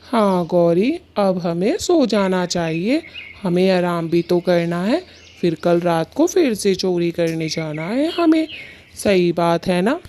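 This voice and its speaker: Woman doing a sly boastful bird husband for a kids cartoon, mellow and unhurried, agrees with his wife. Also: fairly low pitch, lively intonation, a little hiss or hum. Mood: agreeable. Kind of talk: Hindi children's cartoon dialogue.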